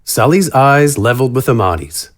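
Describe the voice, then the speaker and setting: A man's voice: mid-range voice, animated, normal speed. A man reading scripted narration for a fantasy story.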